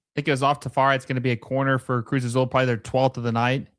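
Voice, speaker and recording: A man's voice: mid-range voice, level tone, racing, fairly bright tone. The man you would hear on a fan's live watch-along stream, casually calling each touch in short bursts from a dry, echo-free spot. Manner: casual fan-like reactions in short, clipped play-by-play phrases. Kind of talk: casual live match commentary.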